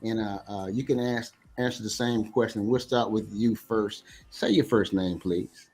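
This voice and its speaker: A man's voice: fairly low pitch, steady tone, measured pace, darkish tone, husky. An American man hosting an interview show in a casual, conversational way. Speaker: show host. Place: video call.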